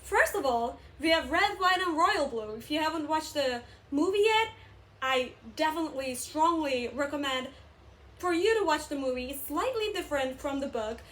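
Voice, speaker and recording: A woman's voice: sits high, expressive, moderate pace, a bit bright. A young woman from Turkey with a Turkish accent, chatting enthusiastically about favorite books in a casual vlog, full of fillers and self-corrections. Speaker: booktube vlogger. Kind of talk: casual book recommendation chat.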